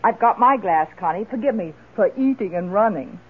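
A woman's voice: medium pitch, expressive, a little fast, slightly rough. An actress playing a wealthy, generous, effusive lady of the house in an old-time radio drama, speaking in a theatrical, exclamatory way. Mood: flustered. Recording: muffled lo-fi radio drama recording.

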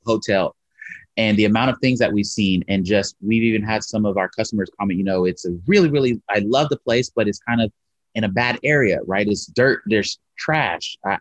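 A man's voice: fairly low pitch, no echo. A man in his thirties, a coffee shop owner, talking earnestly and with animation on a video call from his shop. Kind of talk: interview over video call. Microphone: video call webcam. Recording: slightly muffled video call audio.

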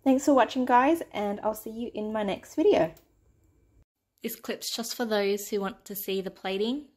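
A woman's voice: mid-range voice, normal speed, darkish tone, roomy sound. Chatty Australian woman cooking at home. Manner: chatty, step-by-step, addresses viewers as guys. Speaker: cooking vlogger. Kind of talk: casual cooking narration to camera. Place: home kitchen.

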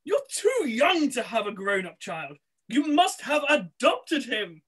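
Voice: medium pitch, big pitch swings, slow, a bit bright, breathy edge, full character voice. A performer playing a scheming, boastful male sorcerer in an amateur pantomime read-through, with theatrical, cackling delivery over a video call. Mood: oily, flattering. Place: small room, on a video call. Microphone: computer mic on video call.

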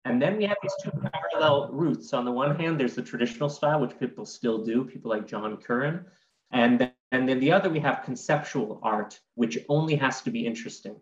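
A man's voice: mid-range voice, fairly quick. Articulate man in an echoey room. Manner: articulate, didactic. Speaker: guest art historian. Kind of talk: conversational explanation in an interview. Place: home room with bookshelves. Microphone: computer microphone on video call. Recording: dull, echoey video call audio.

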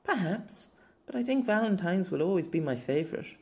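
A man plays a playful, old-fashioned young lady in an audiobook story. The voice is lifted high and swoops about. She is wide-eyed and teasing, with quaint formal turns of phrase. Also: lively intonation, brisk, a little mellow. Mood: tender.

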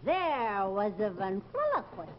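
A woman's voice: mid-range voice, huge pitch swings, takes it slowly. An older woman playing a folksy, gossipy landlady and former stage fortune teller in a television drama, with theatrical exclamations. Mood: eager, confiding. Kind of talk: 1950s television drama acting. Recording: dull, noisy old TV soundtrack.

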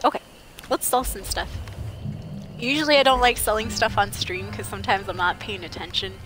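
Chatty young woman streaming a game. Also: medium pitch. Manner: chatty, thinking aloud while sorting loot. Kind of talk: casual gameplay commentary. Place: home gaming setup, indoors.

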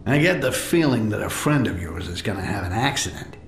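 A man voicing a cunning, sardonic goblin thief in a video game, with a gravelly, wry delivery. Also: lowish voice, lively intonation, brisk, a little hiss or hum. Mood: grudging. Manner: sarcastic, wry, muttering to himself. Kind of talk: video game character acting.

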